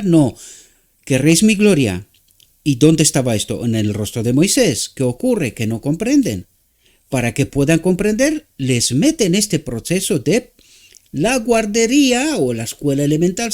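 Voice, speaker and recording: A man with a Romanian accent preaches in Spanish, his second language. His voice sits fairly high and swings widely in pitch. He halts to search for words and keeps asking his listeners to agree. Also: dark tone. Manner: halting, searching for words, frequent rhetorical "¿verdad?".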